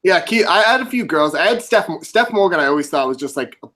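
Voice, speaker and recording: A man's voice: high-pitched, animated, fast, fairly bright tone. Chatty young man, guest on a podcast. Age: 20s. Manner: chatty, laughs easily, lots of "like". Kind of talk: casual podcast interview.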